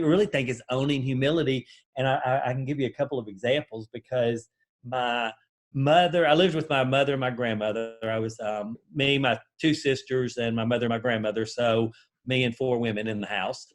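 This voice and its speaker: A middle-aged man from Memphis with a Southern accent, chatting as an interview guest in a friendly, self-deprecating way. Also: medium pitch. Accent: Southern American. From Memphis, Tennessee. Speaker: guest, HR executive. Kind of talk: casual interview talk.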